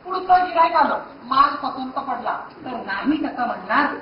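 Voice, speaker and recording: A man's voice: very high voice, animated, moderate pace. A man playing a pushy salesman in a comic stage play, hawking his goods with wheedling patter. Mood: pushy, haggling. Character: pushy male street-style salesman hawking grooms like goods. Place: theatre stage. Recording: noisy muffled echoey stage, distant mic.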